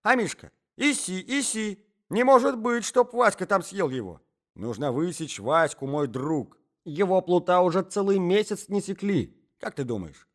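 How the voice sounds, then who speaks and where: A man voicing a fussy, indignant old husband in a story, shouting, repeating himself and sputtering. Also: a little high, animated, normal speed, pitched above the performer's own voice, natural voice. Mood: alarmed, fussing.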